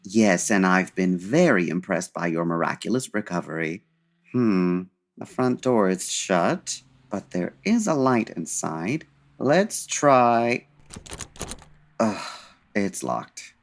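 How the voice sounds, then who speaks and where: An actor playing a suave, wry 1940s gentleman detective in a comedic radio drama, with dry, urbane and formal delivery. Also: deep voice, animated, takes it slowly, heavily acted. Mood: wry, purposeful. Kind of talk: comedic old-time radio drama acting.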